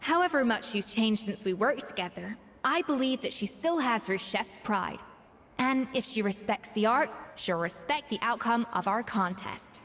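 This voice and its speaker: A poised, proud head chef, fairly high in pitch and dryly matter-of-fact. She brushes ideas aside with calm certainty. Her game lines carry a long reverb tail. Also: moderate pace. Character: composed female head chef, proud and dry.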